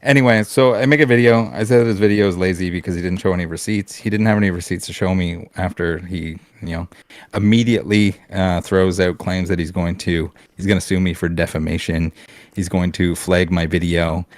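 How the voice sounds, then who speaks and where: A man's voice: deep voice, fairly even intonation, a little fast, no echo. A man from Canada on a casual podcast, ranting bluntly with swearing, restarts and fillers. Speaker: podcast co-host.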